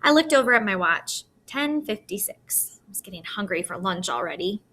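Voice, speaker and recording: A woman reading a children's novel aloud in an expressive storytelling manner. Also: mid-range voice, normal speed. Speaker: audiobook-style reader.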